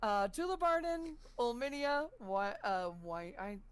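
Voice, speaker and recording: A woman's voice: a little high, very animated, measured pace, clear, resonant tone. A woman co-hosting a podcast who reads out a list of supporters in a breezy, playful way. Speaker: podcast co-host. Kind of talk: reading a thank-you list of supporters.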